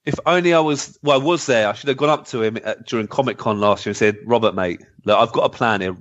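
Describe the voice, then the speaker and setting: A man's voice: mid-range voice, fast talker, fairly bright tone. A man chatting casually as a podcast co-host and reading out comments. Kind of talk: casual retro gaming podcast chat, reading out listener comments. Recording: dull, lo-fi voice recording.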